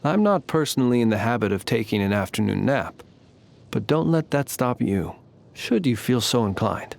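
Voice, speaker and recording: Courtly, eloquent, ancient fae gentleman. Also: mid-range voice, big pitch swings, creaky. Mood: courteous, leisurely. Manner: formal, eloquent, measured, theatrical phrasing. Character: courtly nocturnal fae lantern keeper, ancient gentleman, calm and wry. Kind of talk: scripted video game character voice lines.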